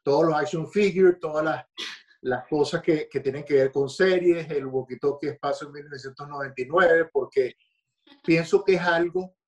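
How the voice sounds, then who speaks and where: A man from Venezuela with a Venezuelan accent, a guest author being interviewed at home, talking in a relaxed, hesitant way. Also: a little high, muffled recording. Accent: Venezuelan Spanish. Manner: relaxed, hesitant, with many fillers and restarts. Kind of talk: podcast interview.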